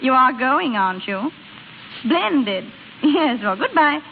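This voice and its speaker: Bossy, gossipy married sister, fairly high voice, gushing and insincere, thin old radio sound. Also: female voice, sing-song, a little slow, phone-quality sound, exaggerated voice. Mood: falsely sweet. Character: Florrie, meddling married older sister, insistent, gossipy. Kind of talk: 1930s-40s radio drama acting. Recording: thin, band-limited old radio recording, faint hiss.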